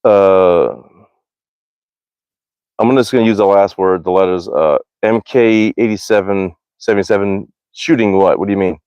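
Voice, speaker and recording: Low-voiced American guy, casual and rambling. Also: normal speed, a little mellow, clear, resonant tone, no echo, dull audio. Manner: informal, slangy, rambling. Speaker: livestream host, hobby archer. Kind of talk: casual livestream chat. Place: outdoors at a backyard archery range.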